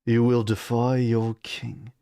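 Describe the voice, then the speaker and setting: A man voicing a stern, exasperated king and father in a storybook, slow and measured. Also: medium pitch, gravelly, no echo, studio quality. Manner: slow, measured, dangerously calm.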